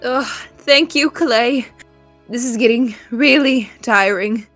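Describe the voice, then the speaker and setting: Higher-voiced woman playing a tabletop game. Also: slow pace, fairly bright tone, faint music behind. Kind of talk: casual game talk.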